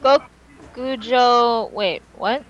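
High-voiced teasing woman. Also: very slow, lo-fi sound, a little hiss or hum. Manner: teasing, playful interjections. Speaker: podcast panelist. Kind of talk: casual chat.

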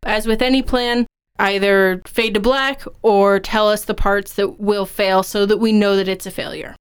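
A woman with a strikingly clean, resonant voice at a middling pitch. She co-hosts a podcast as a writing instructor, laying out her opinions in an explanatory, conversational way. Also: steady tone, moderate pace, smooth. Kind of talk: conversational craft discussion.

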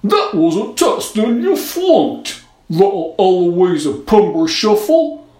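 A woman's voice: medium pitch, very animated, slow pace, darkish tone, echoey room. A woman voicing a hearty, bossy talking snowman with slurred speech, mischievous, in a storybook reading. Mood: indignant.

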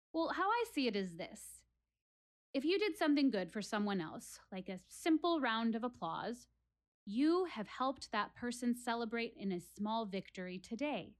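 An actress playing an earnest, wry woman philosophizing to her theater audience in a dramatic one-woman monologue. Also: on the high side. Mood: earnest, encouraging. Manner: dramatic, rhetorical, addressing listeners directly.

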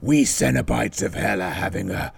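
A man's voice: fairly low pitch, moderate pace, creaky, a little hiss or hum. An actor playing a pompous male demon priest from hell, haughty and peevish.

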